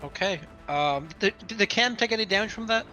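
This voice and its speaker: Dude playing a tabletop rpg, kinda high bright voice, casual, faint music under it. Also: male voice, fairly quick. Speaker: player in a tabletop roleplaying game. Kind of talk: casual game-table chat. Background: background music.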